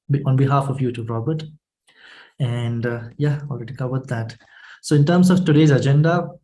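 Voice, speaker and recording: A man moderating an online workshop, welcoming attendees and walking through the session in an informal, halting way. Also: medium pitch, darkish tone. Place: online video meeting.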